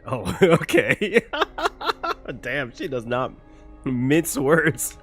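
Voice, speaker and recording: A man's voice: medium pitch, big pitch swings, extremely slow, fairly bright tone, husky, faint music behind. A man giving casual, chatty reaction commentary on a game, thinking aloud as he goes. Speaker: streamer reacting to a game story.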